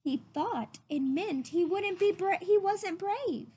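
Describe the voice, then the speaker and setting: Woman as a gentle, high-voiced mother raccoon. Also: expressive, slow pace, heavily acted. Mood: patient, explaining. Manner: sweet, soothing, patient. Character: gentle, patient mother raccoon telling a tale. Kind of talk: storybook character voice.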